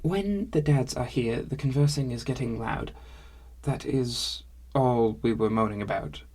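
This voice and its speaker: A man voicing a cheerful female dwarf stablehand who speaks in a stilted, oddly formal way, with a husky voice. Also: a bass voice, a little slow, a little mellow, exaggerated voice. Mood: explaining. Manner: stilted phrasing with much "is being".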